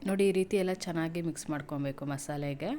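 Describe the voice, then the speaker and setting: A woman from narrating a recipe step by step in a plain, instructional way, pausing between items. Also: low-pitched, dark tone. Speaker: home cook presenting a recipe. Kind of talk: step-by-step cooking tutorial narration. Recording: noisy home cooking video audio.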